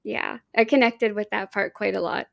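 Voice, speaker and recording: A woman's voice: mid-range voice, steady tone, moderate pace, gravelly, dry recording, clean studio sound. A woman co-hosting a book club, chatting casually and earnestly and gushing about what she loves. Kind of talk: casual interview chat.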